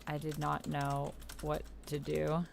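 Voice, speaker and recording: A woman's voice: low-pitched, takes it slowly, vocal fry. A woman chatting casually as she plays a text roleplaying game, commenting and reading typed chat aloud. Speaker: player in a text-based online roleplaying game. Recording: steady background noise with keyboard typing. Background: computer keyboard typing.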